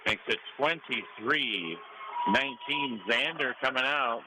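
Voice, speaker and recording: A man's voice: mid-range voice, unhurried. A man with an American accent calling a live match as a radio play-by-play announcer. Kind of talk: live sports commentary. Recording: clearly noisy gym ambience behind. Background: gym noise.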